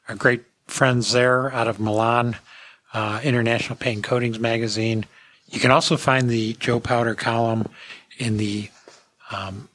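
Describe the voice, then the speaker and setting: A man's voice: medium pitch, a bit bright, dry recording. A man hosting a podcast, talking casually in a hesitant, meandering way. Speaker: podcast host.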